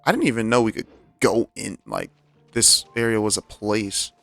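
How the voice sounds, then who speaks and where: Low, gravelly male game streamer. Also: sing-song, moderate pace, fairly bright tone, soft background music. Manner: informal, slangy. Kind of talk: casual reactions while playing a video game.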